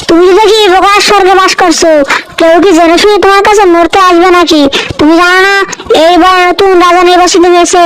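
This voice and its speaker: A woman's voice: extremely high pitch, fairly bright tone, a little hiss or hum, rough recording. A woman voicing a small, cheeky, curious fawn in a children's cartoon. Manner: cheeky, boastful.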